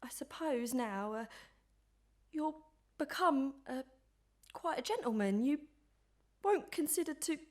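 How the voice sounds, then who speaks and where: A woman's voice: high-pitched, expressive, takes it slowly, pauses often, darkish tone. A woman playing a coy, eager village sweetheart in a Victorian melodrama, gushing and hesitant. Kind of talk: Victorian melodrama audio acting.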